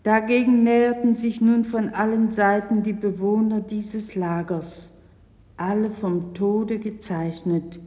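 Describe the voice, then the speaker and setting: An actress playing a grave, compassionate missionary who narrates her memories in a solemn, measured audio drama style. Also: medium pitch, dark tone, close to an everyday voice. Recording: long hall reverb, vintage vinyl record.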